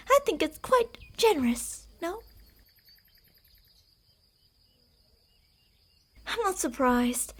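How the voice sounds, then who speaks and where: A woman voicing an obsessive female fox spirit in a borrowed human body, speaking in a calm, formal, quietly manipulative way that dips into whispers. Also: high-pitched. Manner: soft-spoken, dipping into whispers. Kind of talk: ASMR roleplay.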